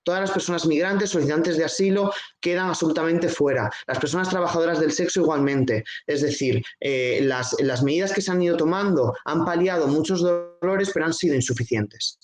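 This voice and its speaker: A man's voice: fairly high pitch, brisk, few pauses. A man speaking earnestly and emphatically as a guest on an online panel. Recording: echoey room.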